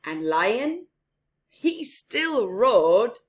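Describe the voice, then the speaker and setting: A woman's voice: fairly high pitch, very animated, drawn-out words, breathy edge. A woman reading a story aloud to young children, expressively, with simple explanatory asides. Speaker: children's story-time reader.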